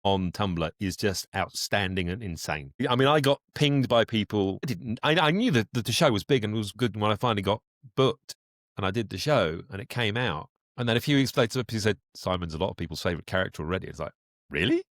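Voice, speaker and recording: A man's voice: on the low side, lively intonation, fairly quick, fairly bright tone, no echo, clean studio sound. A man chatting casually as an interview guest, effusive and emphatic about his work.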